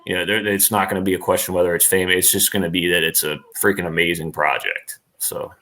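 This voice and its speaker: A man's voice: sits low, fairly even intonation, fast talker, bright, forceful tone. A middle-aged man chatting casually as an interview guest on a livestream, emphatic and repeating points for stress. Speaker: interview guest, actor and former professional wrestler.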